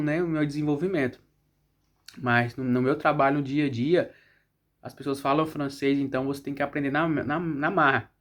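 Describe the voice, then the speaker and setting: A man with a Brazilian Portuguese accent chatting casually to his viewers as a vlog host, often adding little tag questions. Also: fairly high pitch. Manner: frequently checks in with tag questions.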